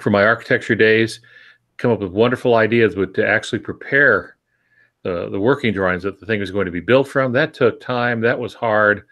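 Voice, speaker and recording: An older man who co-hosts a podcast, giving reflective writing advice in a measured, deliberate, emphatic way. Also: on the low side, fairly bright tone. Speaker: podcast co-host and veteran writer.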